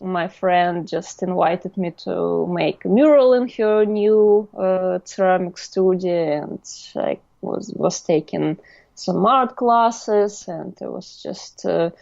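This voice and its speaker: A woman's voice: fairly low pitch, takes it slowly, creaky, a little mellow, no room sound, muffled recording. A young adult woman from Russia with a Russian accent, a guest speaking English as a second language in a casual interview, hesitant with many fillers.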